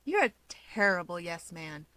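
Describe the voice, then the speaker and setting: A woman voicing a guarded, irritable young man with a deadpan, sarcastic delivery. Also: lowish voice, unhurried, no room sound. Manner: deadpan, snarky.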